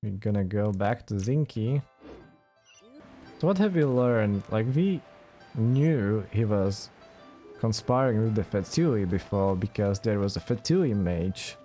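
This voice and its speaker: A man's voice: lowish voice, mellow. A man giving casual, skeptical let's play commentary in a dry manner.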